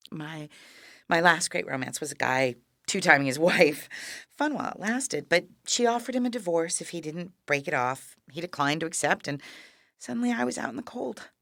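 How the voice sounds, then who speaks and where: An actress in an audio play playing a dying younger sister, weary, wry and resigned, with a frail, halting, breathy delivery that drops to whispers. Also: female voice, fairly low pitch, lively intonation, a bit bright, dry recording, clean studio sound. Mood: wry, tired. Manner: frail, halting, wryly understated. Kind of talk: intimate audio play acting.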